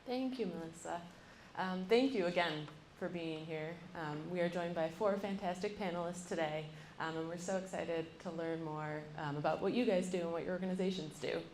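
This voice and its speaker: A woman's voice: fairly low pitch, moderate pace, few pauses, a little mellow, a little hiss or hum. A young woman moderating a university panel, introducing each speaker with frequent ums. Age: young adult. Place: lecture room. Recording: roomy, mic from a distance.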